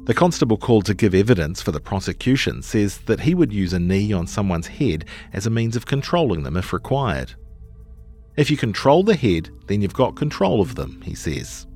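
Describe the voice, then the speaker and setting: A man with a fairly low voice reads scripted journalism in a measured, articulate way. His intonation moves up and down in a lively manner as he narrates a long-form article, with faint music in the recording.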